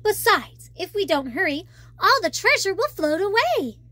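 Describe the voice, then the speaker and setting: A woman voicing an adventurous young mermaid princess in a children's storybook, excited and headstrong. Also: very high voice, normal speed, voice pushed up, full character voice. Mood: reassuring, eager. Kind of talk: children's storybook read-aloud.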